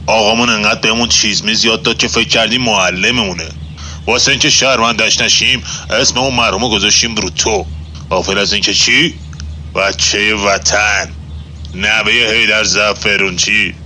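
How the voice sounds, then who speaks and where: A man's voice: fairly low pitch, sharp tone, slight background noise. An actor playing a blustering, easily flustered father, a moustached street tough with colloquial talk, in a nostalgic radio drama. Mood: exasperated, sarcastic. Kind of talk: nostalgic 1960s-set radio drama acting.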